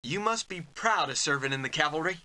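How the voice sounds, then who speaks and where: A bright-voiced cowboy hero. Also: male voice, fairly high pitch, expressive, a little fast. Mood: curious. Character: wisecracking young male cowboy hero. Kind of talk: dubbed adventure game dialogue.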